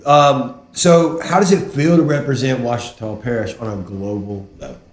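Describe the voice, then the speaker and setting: A man's voice: medium pitch, moderate pace, roomy sound. A man co-hosting a podcast in laid-back, casual talk, often saying "bro" and "dude".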